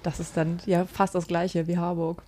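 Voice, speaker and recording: A woman's voice: fairly low pitch, little pitch movement, normal speed, dark tone. A woman from Hamburg chatting casually in a conversation. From eastern Hamburg, Germany. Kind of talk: casual chat.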